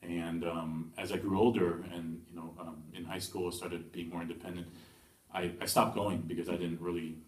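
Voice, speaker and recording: A young man born in Taiwan giving a hesitant personal testimony at church, with many pauses and restarts. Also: deep voice, little pitch movement, a little mellow. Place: church hall. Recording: echoey room from far off.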